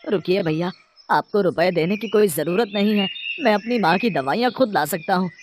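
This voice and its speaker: A woman playing an earnest, protective young student son in an animated Hindi moral story. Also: mid-range voice, normal speed, noisy background, lightly acted. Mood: indignant. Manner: earnest, emphatic.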